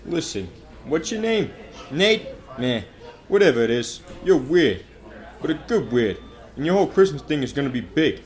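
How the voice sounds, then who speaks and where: Guy doing a pushy talent scout for a radio play, slick hustler, pitch swings all over. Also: very animated, normal speed. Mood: brash, persuasive. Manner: salesman-like hustling patter. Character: fast-talking showbiz scout, slick, brash opportunist. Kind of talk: amateur radio drama acting.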